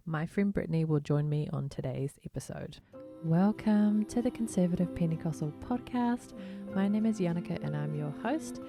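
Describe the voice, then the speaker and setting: A woman's voice: fairly low pitch, expressive, normal speed, dark tone, faint music behind. A woman hosting a podcast, introducing her guest and asking conversational questions. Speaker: podcast host.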